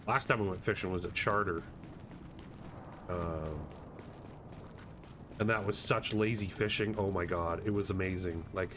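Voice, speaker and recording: A man's voice: fairly low pitch, fairly bright tone. A man chatting casually and in a rambling, easygoing way while live streaming a video game. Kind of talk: casual chat while gaming. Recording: clear background noise and game ambience.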